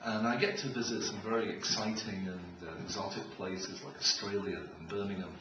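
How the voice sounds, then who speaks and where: A man's voice: fairly low pitch, fairly even intonation, brisk, highs cut off. A middle-aged man giving a humorous keynote talk with anecdotes, in a wry, joking way. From Israel. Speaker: keynote speaker. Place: large room with an audience. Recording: echoey, noisy hall, distant microphone.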